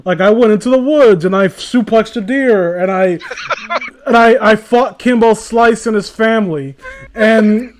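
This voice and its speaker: A man's voice: sits high, unhurried, a little hiss or hum. A man chatting casually and sarcastically, with plenty of swearing, as he hosts a comic fan fiction reading. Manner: animated, sarcastic, profane. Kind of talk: casual comedic chat and riffing.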